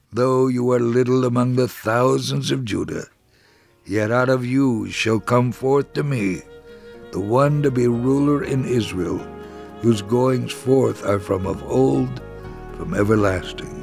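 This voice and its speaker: A man reading a scripted first-person faith story for radio in a measured, solemn, dramatic storytelling manner. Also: mid-range voice, vocal fry, darkish tone.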